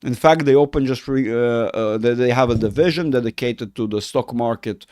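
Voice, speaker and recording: A man from Israel whose first language is Russian talks loosely through a market livestream. He rambles, restarts often and gushes freely. His voice sounds smooth and resonant, and it is close and dry on the mic. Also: medium pitch, normal speed, darkish tone, no echo. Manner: rambling, self-correcting, effusive. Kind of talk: casual livestream chat.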